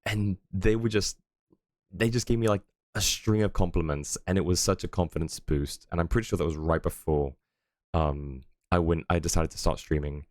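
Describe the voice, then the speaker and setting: Deep voiced guy streaming from home, chatty and rambly, lots of ums, dry close mic. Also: steady tone, normal speed, dry recording. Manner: rambling, lots of fillers and chuckles. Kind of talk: casual stream chat.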